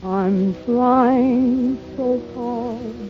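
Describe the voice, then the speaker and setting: A woman's voice: a little high, very slow, over loud music. A woman singing a torch song in the style of 1930s radio, with musical accompaniment. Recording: dull lo-fi 1930s radio recording.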